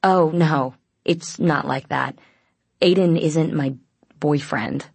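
Stubborn teen girl, played by a woman narrator, defensive and halting, creaky, husky tone. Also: low voice, no echo, heavily acted. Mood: flustered. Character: defensive, stubborn teenage girl in a vampire story.

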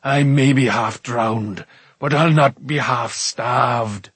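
A massive, hearty warrior king from a storybook. He blusters and bellows in a husky voice. His words come slow and weighty. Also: medium pitch, a bit bright, no echo. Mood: gruff, hungry. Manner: blustering, drawn-out delivery. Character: huge, booming, hearty old warrior king.

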